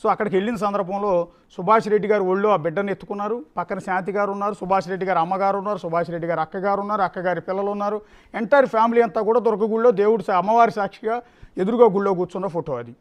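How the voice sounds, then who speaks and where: A man's voice: high voice, fairly even intonation, very fast. A man anchoring the news, giving emphatic unscripted commentary and repeating phrases for effect. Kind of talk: live news commentary, extempore.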